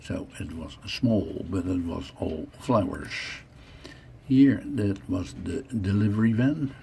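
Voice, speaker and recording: A man in his sixties from the Netherlands, with a Dutch accent, narrates his life story over photos in short, halting phrases. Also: low-pitched, lively intonation, gravelly, dark tone, a little hiss or hum. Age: 60s.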